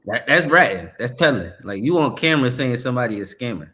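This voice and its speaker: A man hosts a reaction show and comments on clips in loose, slangy talk. He often restarts his sentences, stumbles over his words and laughs between remarks. His voice sits at a middle pitch. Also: expressive, fast talker, sharp tone.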